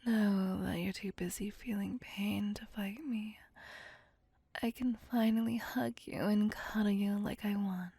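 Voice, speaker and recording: Slow, husky, whispering vampire seductress played by a woman. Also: medium pitch, steady tone, warm tone, no room sound. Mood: soothing, possessive. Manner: slow, teasing, theatrical purring menace. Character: seductive, possessive female vampire, menacing yet doting lover. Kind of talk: ASMR roleplay, intimate whispered acting.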